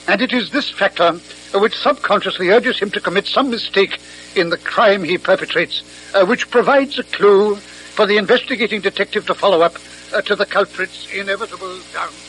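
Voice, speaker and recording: A sharp-minded, pompous British criminologist in a vintage radio drama. His voice is high for a man and bright, with faint noise on the recording. He speaks in formal, pedantic sentences. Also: a little fast, low-quality recording. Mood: pedantic, composed. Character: pompous, precise, sharp-minded doctor and criminologist dictating notes. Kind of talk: old-fashioned radio drama acting.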